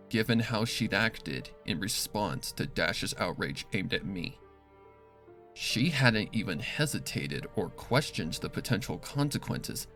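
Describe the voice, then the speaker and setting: A man reading a dark first-person story as scripted dramatic narration, serious and deliberate. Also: fairly low pitch. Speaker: fan fiction reader.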